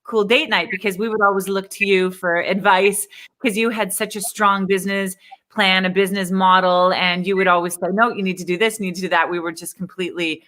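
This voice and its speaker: A middle-aged woman hosts a livestream interview with an upbeat, chatty manner. Her voice is bright and sharp, and she talks in an admiring, friendly way as a speaking coach. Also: medium pitch, steady tone, a little fast.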